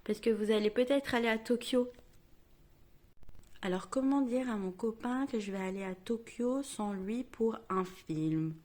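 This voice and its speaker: A woman vlogger chatting at home to her camera, telling a story in a self-mocking, lively way. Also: a little high, unhurried.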